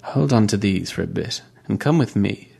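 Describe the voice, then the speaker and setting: A practical, matter-of-fact woman, as a male reader voices her. She speaks plainly and without fuss. The voice stays low and even. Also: moderate pace, vocal fry, slightly rough, heavily acted. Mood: brisk.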